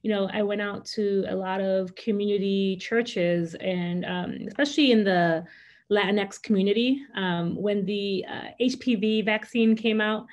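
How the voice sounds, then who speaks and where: A woman's voice: mid-range voice, measured pace, lo-fi sound. A woman physician who is a native Spanish speaker, sharing practical advice on a panel over a video call from her office. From United States. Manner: practical, animated hand gestures. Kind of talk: panel discussion answer. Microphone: video call, laptop camera.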